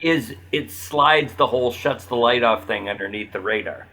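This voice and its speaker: A man's voice: medium pitch, normal speed, gravelly, sharp tone, rough recording. A man talking informally as a guest in a podcast conversation. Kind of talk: casual chat.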